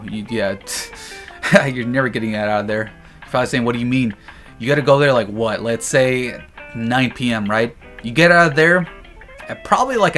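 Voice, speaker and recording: A man's voice: mid-range voice, fairly bright tone. Slangy young man joking to camera. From Texas, United States. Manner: slangy, joking, loose storytelling. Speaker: vlogger. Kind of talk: casual comedic chat.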